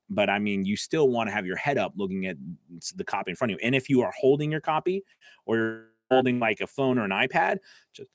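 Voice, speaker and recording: Man, voice-over coach, casual chatty teaching, lowish voice, dry close sound. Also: no echo. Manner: casual, chatty, answers viewer comments. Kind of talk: live stream Q&A teaching.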